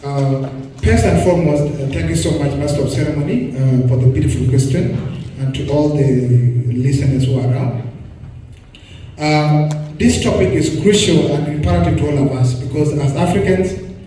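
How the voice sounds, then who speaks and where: A man's voice: on the high side, moderate pace, mellow, a little hiss or hum. A young man from South Sudan speaking formally on a student panel, hesitant and wordy. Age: young adult. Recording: cavernous echoey hall, distant mic.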